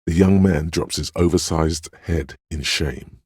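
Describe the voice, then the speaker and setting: A man playing the gentle, perceptive inner voice of empathy in a role-playing game, narrating in a measured, intimate, solemn and literary way. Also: fairly even intonation, no echo, clean studio sound, heavily acted. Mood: tender, perceptive. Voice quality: very deep, gravelly, husky.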